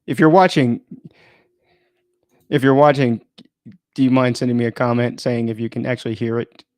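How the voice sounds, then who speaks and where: A man's voice: medium pitch, fairly even intonation, normal speed, darkish tone, no echo. A man chatting informally and thinking aloud as he runs an unplanned live stream, often interrupting himself in a rambling way.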